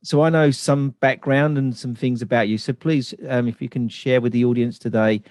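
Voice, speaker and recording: A man's voice: medium pitch, steady tone, normal speed, a little mellow, dry recording. A man hosting a podcast interview from home over a video call, friendly and chatty with loose phrasing. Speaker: podcast host. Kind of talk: casual interview chat. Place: home in London. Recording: dry close sound.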